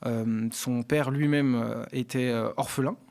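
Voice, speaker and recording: A man from the Lyon area telling his own story as an interview guest, often pausing to search for words. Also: mid-range voice, steady tone, gravelly, a little mellow. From Lyon area, France. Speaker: interview guest, parliamentary aide. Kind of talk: conversational personal account in an interview.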